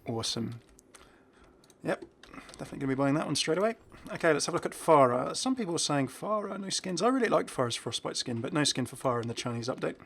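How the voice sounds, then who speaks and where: A man's voice: on the high side, sing-song, fast, vocal fry, a bit bright, slightly rough. Guy doing chill game commentary, really into it, chatty and informal, chuckles a lot. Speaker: video creator presenting game content.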